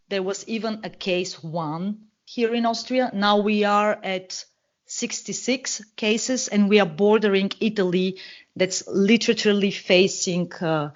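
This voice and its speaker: A woman from Bulgaria with a Bulgarian accent, speaking as a guest analyst on a podcast and talking with many fillers and restarts. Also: mid-range voice. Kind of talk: podcast interview, explaining. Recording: dull lo-fi call audio.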